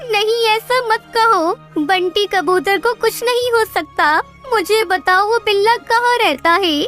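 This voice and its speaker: A woman's voice: extremely high pitch, unhurried, a bit bright, soft background music. A woman voicing a small, anxious, devoted female bird who speaks in an earnest, pleading way in a children's cartoon. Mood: desperate pleading.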